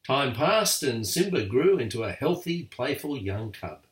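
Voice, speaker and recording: An older grandfatherly man reading a bedtime story with a lively, expressive rise and fall. His voice sounds roomy and echoey, as if he sits well back from the microphone. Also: medium pitch, measured pace. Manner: lively, expressive read-aloud. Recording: echoey room, far from mic.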